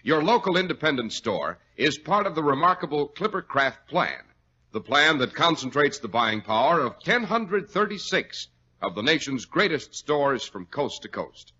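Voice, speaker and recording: A man's voice: a little high, very animated, normal speed, bright, forceful tone, slightly rough. A male radio announcer delivering a polished, persuasive scripted sales message in 1940s style. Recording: dull old radio recording.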